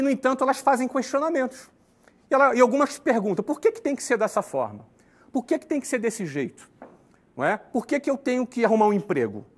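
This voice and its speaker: A man in his forties from Brazil, explaining his advice in an earnest, measured talk to the viewer. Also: very high-pitched, husky. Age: 40s. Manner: earnest, explanatory, uses tag questions. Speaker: entrepreneur giving advice. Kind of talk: talking-head lesson to camera.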